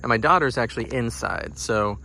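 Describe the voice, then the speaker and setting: Guy doing a casual product demo, medium pitched voice, just explains stuff plainly. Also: a little fast, gravelly, sharp tone, a little hiss or hum. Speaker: product reviewer. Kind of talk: casual product demonstration to camera.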